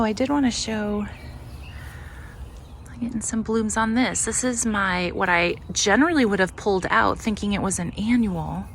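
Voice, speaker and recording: A woman's voice: mid-range voice, creaky, a little hiss or hum. A woman talking casually outdoors as she hosts a home garden tour, chatting and musing aloud as she looks around. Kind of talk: casual walk-and-talk vlog.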